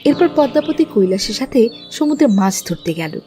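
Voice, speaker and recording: Female storyteller for a cartoon fairy tale, high-pitched voice, scripted narration. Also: very animated, fairly quick, warm tone, soft background music.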